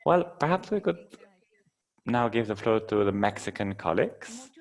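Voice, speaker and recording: A man with a Colombian accent who moderates a panel discussion and interprets the speakers into English, in a measured, formal way. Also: lowish voice, very animated.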